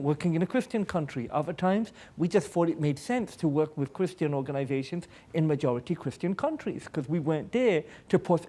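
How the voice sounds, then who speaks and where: A man speaks at a public panel in a relaxed, mellow tone that sits a little higher than most male voices. He comes across as an earnest aid worker, explaining through personal stories and repeating phrases for emphasis. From born in Pakistan, American.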